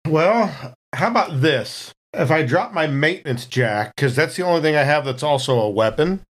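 A man's voice: on the high side, expressive, normal speed. A man playing a tabletop role-playing game, chatting casually and thinking aloud as he tentatively talks through his moves.

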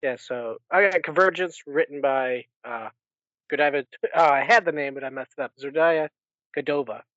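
A man's voice: fairly high pitch, normal speed, fairly bright tone, dry recording, muffled recording. A man co-hosting a podcast, chatting casually through a book recap in a rambling way and often correcting himself. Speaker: podcast co-host.

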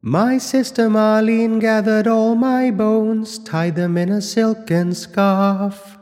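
A man voicing an eerie singing bird, the spirit of a murdered boy, in a slow chanting singsong. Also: sits high, steady tone, a little mellow, in a large hall, faint music behind, voice pushed up.

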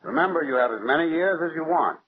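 A man playing a serious, calm family doctor giving measured advice in 1940s radio drama style. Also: on the high side, slightly rough. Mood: reassuring. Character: sober male family doctor, calm and advising. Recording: muffled vintage 1940s radio recording.

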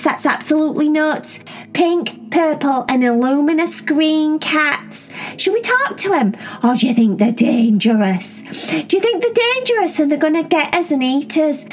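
A woman voicing an excitable, chatty young female cat who gushes and rambles in a storybook. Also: high voice, normal speed, voice pushed up. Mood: excited. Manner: gushing, breathless, rambling.

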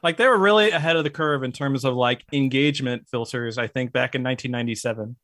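A man in casual chat who talks in a steady, even way, with lots of likes and fillers. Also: medium pitch, quick pace, no echo, studio quality. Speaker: podcast guest, YouTuber. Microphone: close mic, hands bump it.

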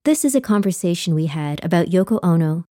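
A woman's voice: fairly low pitch, lively intonation, fairly quick, background noise. A woman curator speaking as an interviewer, articulate and earnest in her delivery. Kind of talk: scripted introduction and conversational interview.

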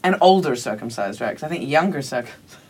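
A woman's voice: a bass voice, animated, fast talker, a little mellow, slightly rough. A woman co-hosting a live podcast in casual chat, giggly and rambling with many fillers. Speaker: podcast co-host. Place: live podcast recording before an audience.

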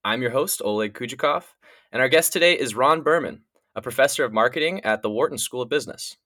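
A man's voice: medium pitch, fast talker, a bit bright, no echo, studio quality. A man hosting a podcast, reading a scripted intro and then chatting loosely with his guest. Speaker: podcast host. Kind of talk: podcast interviewing.

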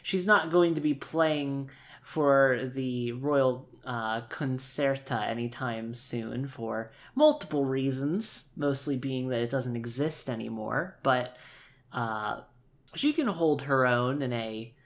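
A tabletop role-player of unclear gender casually improvising narration in a halting, wordy and wry manner. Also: mid-range voice.

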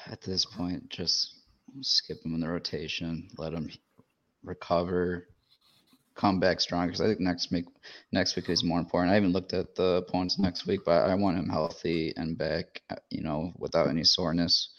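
A man co-hosting a podcast, talking baseball in a casual way and rattling off pitching matchups quickly. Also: flat delivery, fairly bright tone. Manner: rapid-fire rundown of matchups. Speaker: podcast co-host. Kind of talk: casual sports chat. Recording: dull lo-fi sound.

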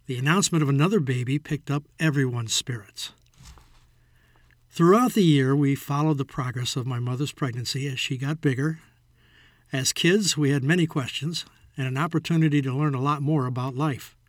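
A man's voice: mid-range voice, moderate pace, no room sound, clean studio sound. A man in his sixties with an American accent, reading his own written childhood memoir as a scripted narration. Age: 60s.

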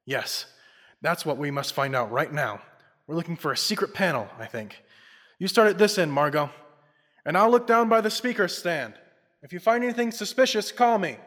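Bright, bold radio detective played by a man. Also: on the high side, expressive, normal speed. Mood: matter-of-fact. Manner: confident, clipped, theatrical. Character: bold, suave crime-fighting male investigator. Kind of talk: old-time radio drama acting. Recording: long reverb with faint music.

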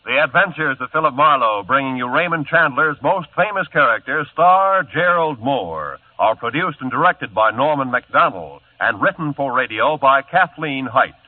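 Lively old-time male radio announcer. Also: mid-range voice, normal speed, few pauses. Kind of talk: formal broadcast announcement. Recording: tinny old radio broadcast.

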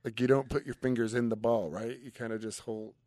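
A man's voice: medium pitch, fairly even intonation, fairly quick, darkish tone, no room sound. Guy sidekick on radio, mid voice, easygoing banter, very dry studio. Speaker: radio co-host. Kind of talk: casual banter.

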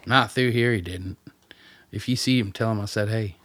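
Man acting a low-voiced, folksy backwoods guide. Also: normal speed, fairly bright tone, dry recording, lightly acted. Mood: wry, gruff. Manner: folksy, plain-spoken, colloquial. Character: gruff rural backwoods guide, wry, unfazed, blunt. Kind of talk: radio play acting.

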